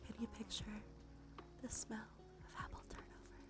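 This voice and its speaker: A woman doing gentle, unhurried ASMR in a breathy whisper and soft murmur, her nose slightly stuffy. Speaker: ASMR streamer, host. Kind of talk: ASMR, soft intimate role play and rambling. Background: soft music.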